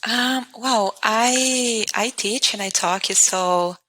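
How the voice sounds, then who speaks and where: Bright Portuguese-accented woman teacher. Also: a little high, slow, low-quality recording. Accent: Portuguese-speaking. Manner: earnest, thinks aloud with many restarts. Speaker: guest, language teacher. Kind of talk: casual interview.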